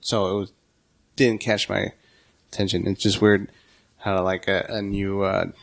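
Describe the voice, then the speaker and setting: A man's voice: fairly low pitch, flat delivery, measured pace, creaky, a bit bright. A man in his late twenties, a podcast guest, telling an easygoing story in casual chat. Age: 20s.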